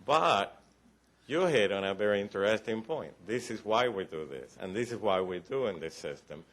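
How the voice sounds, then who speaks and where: Very deep-voiced Cuban-accented man presenting. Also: animated, a bit bright. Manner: explanatory, halting restarts, light joking. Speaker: presenter to county commissioners. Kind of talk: formal briefing. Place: county commission meeting room.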